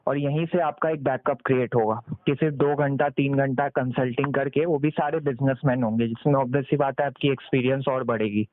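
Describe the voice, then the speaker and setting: Guy pitching on a muffled dry phone recording, explains stuff, keeps asking if you follow, english biz words mixed in. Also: steady tone, fairly quick, hardly any pauses, no echo. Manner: explanatory, often seeks confirmation with tag questions; mixes English business terms into Hindi. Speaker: sales consultant on a call. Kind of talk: persuasive sales pitch over the phone. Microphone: recorded phone call.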